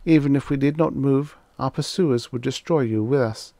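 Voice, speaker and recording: Man putting on a high, solemn alien telepathic voice. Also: warm tone, no room sound, natural voice. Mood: urgent, grave. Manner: formal, measured, grave announcements. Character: solemn alien mind speaking telepathically through a little girl. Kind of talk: science fiction audiobook character voice.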